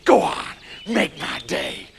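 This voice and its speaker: A man's voice: slow pace, fairly bright tone, a little hiss or hum. A man voicing a grumpy, threatening old storybook farmer in a put-on American accent with a tough-guy drawl. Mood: menacing.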